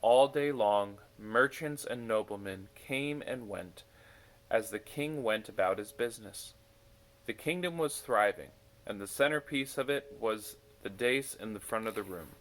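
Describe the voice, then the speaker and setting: A man reading a bedtime story aloud in an expressive storytelling style. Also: on the low side, unhurried, rough recording. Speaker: story reader.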